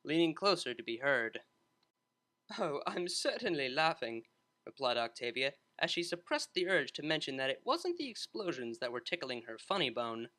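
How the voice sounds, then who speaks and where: A man narrating a scripted story in an expressive reading style. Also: medium pitch, brisk, hardly any pauses.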